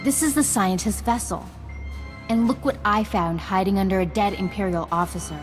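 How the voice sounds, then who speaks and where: A woman's voice: mid-range voice, normal speed, close to an everyday voice. Actress as a composed female Jedi commander over music. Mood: businesslike. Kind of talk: scripted video game acting. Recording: game music behind.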